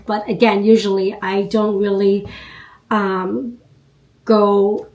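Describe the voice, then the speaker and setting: Nasal woman in her fifties, unhurried chat. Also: medium pitch, flat delivery, a little mellow, low-quality recording. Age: 50s. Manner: unhurried, chatty, frequent ums and you knows. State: congested from a cold. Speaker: beauty vlogger. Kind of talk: casual chat to camera.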